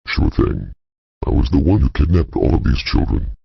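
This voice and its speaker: A man acts out a brazen male kidnapper for an audio drama. The voice is extremely low and thick with gravel, mockingly polite and casual while withholding. The sound is dull and lo-fi. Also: sing-song, normal speed, no room sound, heavily acted. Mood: calmly brazen. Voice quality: gravelly, husky, very deep. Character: brazen, taunting male child kidnapper calling emergency line. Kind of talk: amateur audio drama acting.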